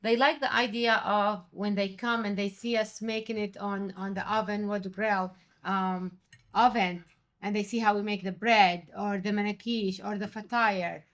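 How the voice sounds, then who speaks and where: A middle-aged woman from Jordan, a restaurant owner and chef, speaking English as an in an animated, conversational interview. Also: mid-range voice, moderate pace. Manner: animated, quotes others with raised voice.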